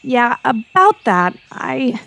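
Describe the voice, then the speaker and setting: Woman playing a high-pitched, earnest teenage girl. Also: very animated, takes it slowly, vocal fry, pushed and bright, no echo, exaggerated voice. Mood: nervous, reluctant. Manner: stammering, pleading. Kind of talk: audio drama acting.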